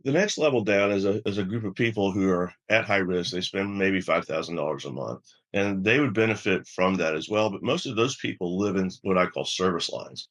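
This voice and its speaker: A man with a low-pitched voice speaks as an expert guest in an interview. He explains things step by step in measured, professional language, sometimes halting and repeating a word before he carries on. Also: fairly bright tone. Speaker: health system chief medical officer, interview guest.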